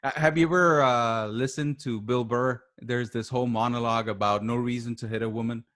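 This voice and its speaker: A man chatting casually as a podcast guest, speaking haltingly and repeating words as he searches for his phrasing. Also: mid-range voice, steady tone, a bit bright. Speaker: podcast guest, writer and voice actor. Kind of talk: casual conversation.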